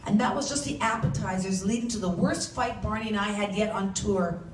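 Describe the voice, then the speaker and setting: A woman reading a first-person story aloud as its author, with an animated, dramatic delivery. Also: mid-range voice, brisk. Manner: animated, acts out shouted dialogue. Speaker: author reading from their own novel. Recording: roomy, distant mic, faint background noise.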